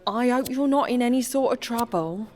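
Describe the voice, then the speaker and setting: A woman's voice: fairly high pitch, moderate pace, a little mellow, natural voice. A woman playing a kindly, doting older pub regular in a comic radio play. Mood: sweet, concerned.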